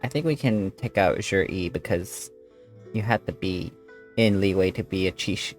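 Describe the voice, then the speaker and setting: A woman's voice: a bass voice, a little slow, a little mellow. A woman hosting a gaming video, giving casual, slangy gameplay commentary and reactions. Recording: game music behind the voice.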